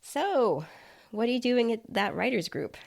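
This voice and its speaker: A woman's voice: a little high, big pitch swings, unhurried, vocal fry, a little mellow, pitched above the performer's own voice, exaggerated voice. A woman voicing a nonchalant male app developer in a short story, chatty and explanatory while inhabiting someone else's body. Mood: casual, chatty.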